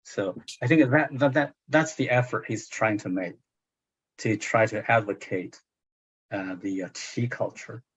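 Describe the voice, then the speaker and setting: An older man in his sixties with a Chinese accent speaks slowly, pausing often to find his words. His voice is a little husky, and the audio is muffled and lo-fi, like a video call. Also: fairly low pitch. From China. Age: 60s. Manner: hesitant, many fillers and restarts. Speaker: translator. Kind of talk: book club discussion.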